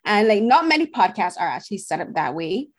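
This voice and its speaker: A woman's voice: medium pitch, big pitch swings, a little fast. Woman guest critic, earnest, talks at length, mid-range voice. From Barbados. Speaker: film critic, podcast guest. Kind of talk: casual podcast conversation.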